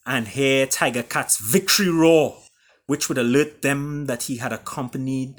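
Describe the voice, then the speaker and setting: A man reading and retelling a fable as its author, with dramatic pauses and emphatic delivery. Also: medium pitch, fairly bright tone. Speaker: author reading his own book.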